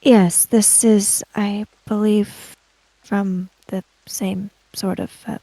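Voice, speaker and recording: A woman's voice: mid-range voice, level tone, slow, mellow, no echo, low-quality recording. A woman playing a formal, guarded samurai woman in a tabletop role-playing game, speaking hesitantly. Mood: guarded, careful.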